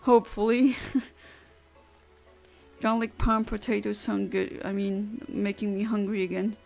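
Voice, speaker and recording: Woman, gravelly fry, blunt and literal, casual streamer chat. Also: medium pitch, flat delivery, slow pace, a little mellow, soft background music. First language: Luxembourgish. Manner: blunt, literal and chatty, with many restarts. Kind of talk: casual chat answering a livestream chat.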